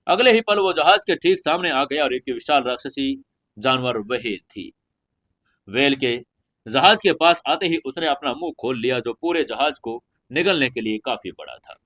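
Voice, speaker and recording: A man's voice: medium pitch, fairly bright tone. A man narrating a scripted fantasy audio story in a dramatic storytelling style.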